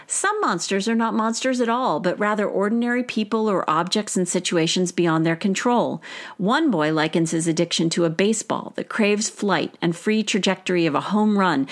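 A woman reading a personal essay aloud in measured, deliberate literary phrasing. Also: medium pitch. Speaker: author and teacher.

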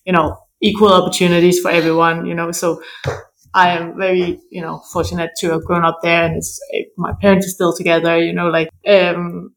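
A woman from Denmark, a podcast host who speaks softly and listens attentively. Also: lowish voice, little pitch movement, fairly quick, poor audio. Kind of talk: interview conversation.

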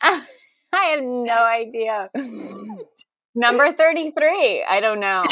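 A woman with a bright, rather high voice co-hosting a comedy podcast in a close, dry-sounding recording. She chats casually and giggles, with a playfully exasperated air. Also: lively intonation, takes it slowly, no room sound. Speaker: podcast co-host. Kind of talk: casual chat.

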